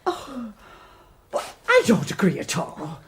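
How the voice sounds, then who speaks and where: Radio play: fluttery nun, high bright voice swooping up and down. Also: female voice, huge pitch swings, slow, exaggerated voice. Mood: gushing. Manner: gushing, fluttery, emotional. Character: gushing soft-hearted fussy nun. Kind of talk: radio drama acting.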